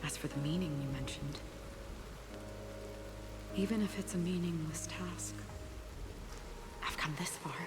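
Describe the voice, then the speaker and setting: A woman voicing a solemn, wistful video game warrior burdened by memory, speaking softly and gravely with a breathy, measured delivery. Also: lowish voice, very noisy, natural voice. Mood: wistful, resolute.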